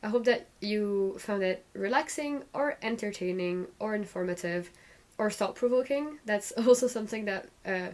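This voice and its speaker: A woman hosting a casual knitting podcast, rambling and restarting her sentences as she knits, her voice a little croaky. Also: medium pitch, moderate pace, a little mellow. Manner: rambling, self-correcting, chatty. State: slightly croaky voice. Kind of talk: casual knit-and-chat vlog.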